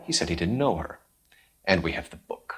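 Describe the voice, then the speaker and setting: Stern, dogged American police detective. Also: male voice, deep voice, lively intonation, moderate pace, a bit bright, breathy edge, full character voice. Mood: businesslike. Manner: clipped, formal, accusatory. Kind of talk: dramatic video game acting.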